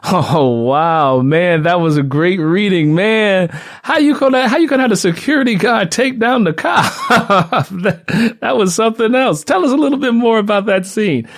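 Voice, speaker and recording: A male interview host with a high-pitched voice. He chats informally and with excitement, in a casual conversational style. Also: normal speed, few pauses.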